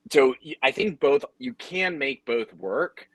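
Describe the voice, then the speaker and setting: A man's voice: mid-range voice, animated, a little slow, no room sound. A man explaining things in businesslike detail in a conversational panel discussion. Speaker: audio drama producer on a panel.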